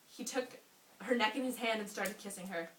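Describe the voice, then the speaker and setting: A young woman reading a story aloud to a friend in an amused, expressive way, often breaking off for asides. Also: medium pitch, moderate pace. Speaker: reader. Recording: roomy, distant mic with faint noise.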